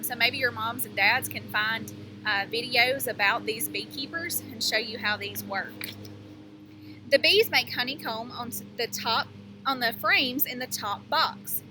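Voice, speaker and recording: A woman teacher reading a picture book aloud to young children, pausing to explain things and ask them questions. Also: a little high, background noise.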